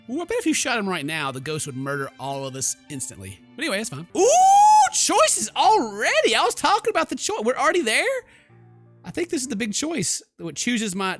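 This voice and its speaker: A man doing jokey, theatrical let's play commentary, excitable and slangy, reading game text dramatically. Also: high-pitched. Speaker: gaming streamer. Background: game music.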